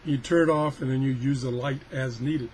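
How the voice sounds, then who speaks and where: A man's voice: mid-range voice, steady tone, moderate pace, a little mellow, a little hiss or hum, poor audio. A man giving a casual hands-on product demonstration as a video reviewer, rambling and thinking aloud. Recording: weather radio chattering in the background.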